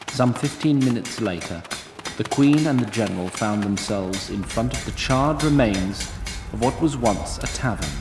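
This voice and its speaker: A man as a wry fantasy storyteller, narrating in formal, literary phrases. His mid-pitched voice carries plenty of echo and a long reverberant tail. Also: noisy background, close to an everyday voice. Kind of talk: scripted narration.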